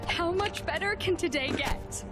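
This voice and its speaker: A woman's voice: very high voice, measured pace. A woman voicing an upbeat, cheeky young superheroine in a video game, breezy and playful. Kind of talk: animated video game acting. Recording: loud music behind.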